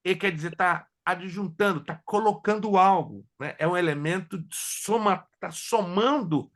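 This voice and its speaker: A man teaching a theology class as a pastor, whose first languages are Hebrew and Spanish, lecturing in an animated way and joking with his students. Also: high-pitched, unhurried. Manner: animated, jokes with students, asks if they follow.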